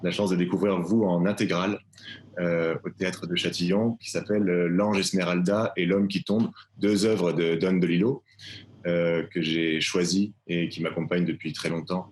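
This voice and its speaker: A man presenting his stage adaptation live, speaking in measured, literary phrasing with frequent fillers. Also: deep voice, fairly even intonation, slight background noise. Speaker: theatre director presenting his play. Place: live video call.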